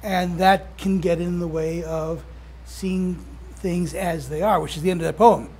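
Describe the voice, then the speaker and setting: A soft-spoken man leads a class discussion, halting and thinking aloud in a high voice. There is faint noise in the room behind him. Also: fairly even intonation. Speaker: instructor. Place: classroom with air conditioning.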